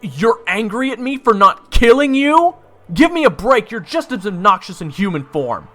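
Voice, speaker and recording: A man's voice: high-pitched, very animated, moderate pace, a bit bright, faint music behind. A man playing a wry, sarcastic adventurer who narrates his own fantasy tale in a conversational way. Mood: indignant, teasing. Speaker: narrator and protagonist. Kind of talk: first-person dramatic narration.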